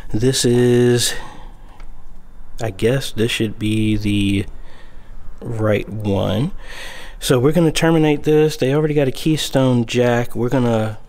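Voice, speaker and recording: A man's voice: mid-range voice, takes it slowly, long pauses. A man in his thirties explaining a camera install in a church sanctuary while he works, talking through each step, recorded on a phone held at arm's length. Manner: relaxed, explanatory, thinking aloud while working. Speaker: church video system installer. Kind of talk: casual how-to vlog. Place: church sanctuary, large room, indoors. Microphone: handheld phone camera, arm's length.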